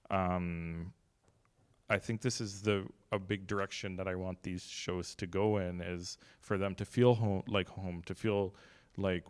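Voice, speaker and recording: A man's voice: low-pitched, darkish tone, smooth. A young man, a gallery's artistic director, giving casual welcoming remarks into a handheld microphone at a long table in a gallery room, with many fillers, restarts and light jokes.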